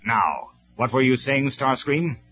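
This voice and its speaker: A man voicing a calm, commanding robot leader. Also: mid-range voice, pitched above the performer's own voice. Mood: scornful. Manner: quiet, measured authority. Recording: slightly dull lo-fi recording with faint music.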